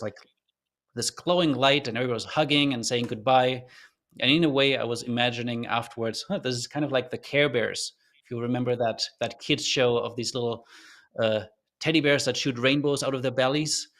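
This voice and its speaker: A man's voice: medium pitch, a bit bright. Earnest man with a faint German accent. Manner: thoughtful, earnest, loose with fillers and restarts. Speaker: podcast guest. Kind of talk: reflective storytelling in an interview.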